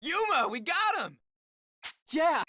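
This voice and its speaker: A man's voice: very high-pitched, very animated, slow, sharp tone, no echo, exaggerated voice. A man voicing an earnest, spirited young hero in an anime fantasy game, eager and emphatic. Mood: elated.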